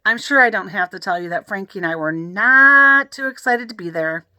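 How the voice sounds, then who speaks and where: Woman, children's story reader, expressive, bright voice, dry sound. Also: mid-range voice, normal speed, no echo. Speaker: audiobook reader.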